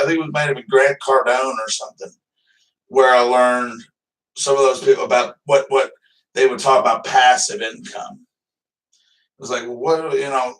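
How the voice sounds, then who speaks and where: A man's voice: medium pitch, fairly bright tone, far from the mic, low-quality recording. A man hosting a live stream, chatting casually and rambling loosely, swearing now and then. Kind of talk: casual livestream chat.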